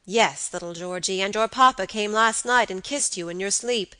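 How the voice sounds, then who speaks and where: A woman's voice: medium pitch, normal speed, sharp tone, clear, resonant tone, no echo, natural voice. A woman voicing a peevish, self-pitying old grandfather in a storybook, plaintive and apologetic. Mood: placating. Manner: plaintive, murmuring, repeats himself.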